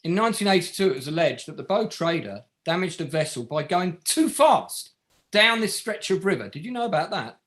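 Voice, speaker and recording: Guy acting a pushy sardonic barrister in a courtroom play, high bright voice, pitch jumps all over. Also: big pitch swings, a little fast. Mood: methodical, accusing. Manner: pressing, pointed, sardonic questioning. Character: relentless barrister cross-examining a witness at an inquiry. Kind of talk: scripted courtroom drama table read.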